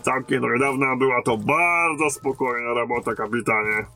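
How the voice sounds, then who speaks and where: An actor playing a battle-hardened lieutenant in command of a besieged stronghold in a fantasy audio drama, with a commanding manner. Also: male voice, mid-range voice, sharp tone, soft background music. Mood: reflective. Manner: commanding, barking orders in battle, measured when talking.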